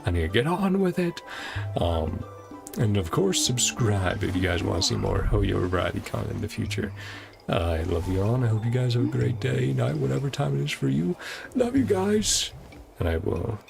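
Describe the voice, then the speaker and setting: A man's voice: on the low side, very animated, moderate pace, creaky. A tired man chatting casually as a game streamer wrapping up, rambling and hesitant in an informal way. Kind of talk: casual stream outro chat. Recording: music playing behind voice. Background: music.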